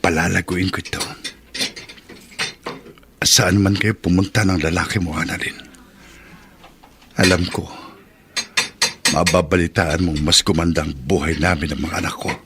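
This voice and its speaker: A man's voice: sits low, steady tone, moderate pace, pauses often, background noise. An actor with a Filipino accent playing a bitter, proud abandoned husband and father in a melodramatic radio drama, intense and emphatic. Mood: bitter resolve.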